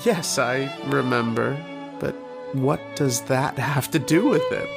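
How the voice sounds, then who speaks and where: A man plays a young soldier haunted by dreams and sick of war, in an old-time radio horror play. The voice is slow and creaky, brooding and dramatic. Violin music plays clearly behind it. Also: medium pitch. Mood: puzzled, wistful. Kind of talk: old-time radio horror acting, first-person narration and dialogue.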